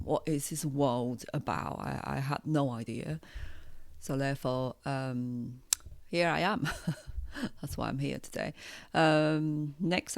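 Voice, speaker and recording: A woman in her forties with a Taiwanese accent, hosting a personal podcast in a hesitant, reflective, unscripted way with frequent pauses. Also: very deep voice, vocal fry, a little mellow, no room sound. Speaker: podcast host, hypnotherapist.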